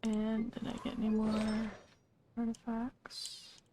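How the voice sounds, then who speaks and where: A woman livestreamer chatting casually while gaming, in a low-key, somewhat hesitant way. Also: completely flat delivery, slow pace, background noise. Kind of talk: casual game stream chat.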